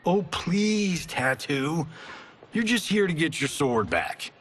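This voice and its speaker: Cocky, drawling movie star. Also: male voice, a little high, big pitch swings, takes it slowly, fairly bright tone, a little hiss or hum, exaggerated voice. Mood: sarcastic. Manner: sarcastic, breezy, boastful. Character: cocky, vain male action movie star. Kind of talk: video game cinematic acting.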